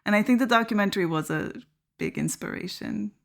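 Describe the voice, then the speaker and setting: A woman guest on a film podcast, speaking in a relaxed way with trailing sentences and frequent fillers. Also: medium pitch, fairly quick, creaky. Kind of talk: casual film discussion.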